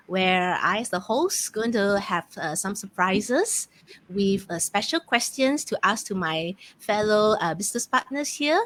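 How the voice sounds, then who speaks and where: A woman with a Malaysian accent casually hosting a live online game, chatty and halting as she goes. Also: mid-range voice, a bit bright. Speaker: host of a live question game, online mentor.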